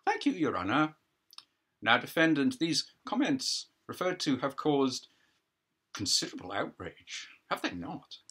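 A man's voice: mid-range voice, big pitch swings, measured pace, bright, forceful tone, husky, full character voice. Man acting out a needling, sceptical prosecuting counsel. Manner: pointed, insinuating questions.

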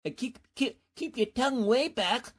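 A woman's voice: fairly high pitch, expressive, moderate pace, slightly rough. A woman playing a flustered, well-meaning bumbler in old-time radio comedy, hesitant and stammering. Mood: cautious.

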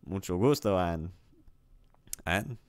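A man with a very low, clear voice works through practice sentences at an unhurried pace, repeating each phrase and catching his own slips. The sound is dry and close to the mic. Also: lively intonation, no room sound. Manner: repeats app sentences aloud, chuckling, self-correcting. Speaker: streamer learning Spanish. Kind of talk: casual commentary while playing a language app.

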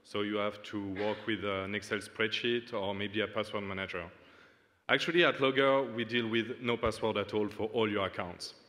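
Man, halting. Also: lowish voice, steady tone, a bit bright. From Switzerland. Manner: halting, earnest, many fillers. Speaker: startup founder demoing. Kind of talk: stage product demo. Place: large dark hall on stage. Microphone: stage mic at a lectern. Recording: long hall reverb.

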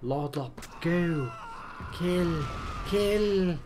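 A man's voice: a little high, extremely slow. A man with a cold and a stuffed, nasal voice, chatting and joking at himself while playing a game. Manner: chatty, self-mocking, reacts aloud while playing. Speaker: gaming channel host. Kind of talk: casual gameplay commentary. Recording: game sounds and keyboard noise behind.

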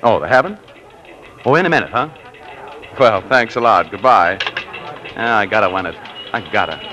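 An actor playing an eager, earnest young office clerk in a 1940s radio drama. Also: male voice, lowish voice, lively intonation, moderate pace, crowd noise, natural voice. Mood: hopeful. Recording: vintage 1940s radio broadcast.

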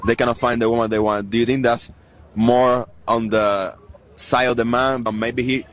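A man interviewing passers-by on a city street, asking long, measured questions. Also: mid-range voice, flat delivery.

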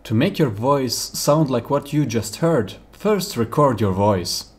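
A man's voice: medium pitch, animated, slow. A man giving concise, matter-of-fact scripted instructions as a tutorial presenter in a voice-over. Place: voice-over for screen recording.

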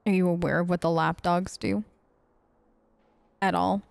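A woman's voice: fairly low pitch, fairly even intonation, unhurried, gravelly, clean tone, no room sound. Woman role-playing through a video game. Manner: conversational, musing. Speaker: let's play host. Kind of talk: improvised role-play gaming commentary.

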